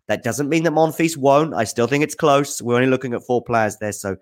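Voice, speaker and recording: A man's voice: medium pitch, a little fast. A man hosting a tennis news show, answering viewer questions in casual, off-the-cuff spoken commentary, thinking aloud with enthusiasm.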